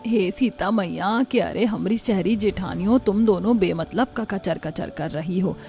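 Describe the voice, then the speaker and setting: A woman's voice: mid-range voice, a little slow, dark tone, lightly acted. A woman voicing a feisty village daughter-in-law with a Bihari accent, drawling with swagger in a cartoon story. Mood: cocky.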